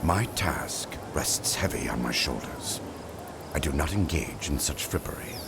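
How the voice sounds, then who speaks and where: A man's voice: a bass voice, expressive, a little slow, vocal fry, noisy background, heavily acted. A man voicing a stern, secretive character burdened by duty in a video game, with grave, formal diction. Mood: stern, irritated. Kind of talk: video game cutscene acting.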